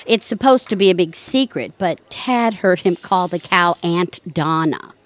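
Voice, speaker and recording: A woman's voice: fairly low pitch, lively intonation, unhurried, no echo. A woman playing a wry, bossy, scheming wife in a comic audio play, with a dry, sardonic manner. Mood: conspiratorial. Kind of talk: comic audio theatre acting.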